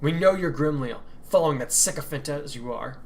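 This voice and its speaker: A man's voice: fairly high pitch, a little fast, natural voice. A man voicing a stern, commanding woman archer.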